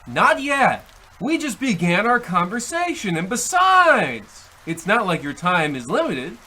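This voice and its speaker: Devilish salesman, radio comedy, theatrical, oily, slow delivery, bright forceful tone. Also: high-pitched, huge pitch swings, heavily acted. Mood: urgent pleading. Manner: theatrical, oily, over-polite. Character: sly devil posing as a traveling salesman. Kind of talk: comic old-time radio play acting.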